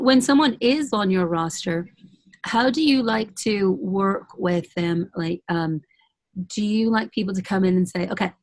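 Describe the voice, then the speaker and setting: A woman's voice: medium pitch, dry recording. A woman hosting a casual webinar interview, asking questions hesitantly with many fillers and a playful turn. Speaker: host.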